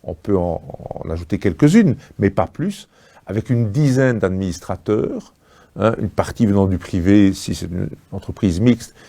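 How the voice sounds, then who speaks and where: Low, mellow man talking politics. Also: animated, normal speed, creaky. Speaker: political party spokesman. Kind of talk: political interview commentary.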